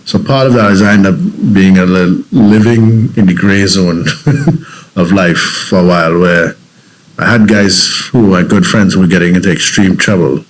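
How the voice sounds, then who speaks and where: Trinidadian man, very deep voice, earnest interview guest, thoughtful storytelling. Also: measured pace, slight background noise, poor audio. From Trinidad and Tobago. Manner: reflective, earnest, with frequent restarts.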